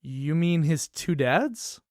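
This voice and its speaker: A woman's voice: deep voice, lively intonation, slow pace, a little mellow, no echo, clean studio sound. A woman playing a naive, bewildered children's storybook in a puppet show, innocent, questioning and incredulous in her delivery. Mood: confused, innocent. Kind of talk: theatrical puppet show dialogue.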